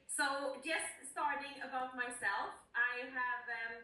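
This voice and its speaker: Swedish lady giving an upbeat intro speech, high bright voice, super echoey room. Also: fairly even intonation, slow. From Gothenburg, Sweden. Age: 40s. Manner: enthusiastic, earnest. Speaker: newly appointed sales leader. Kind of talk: self-introduction speech to colleagues. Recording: very echoey room, distant microphone.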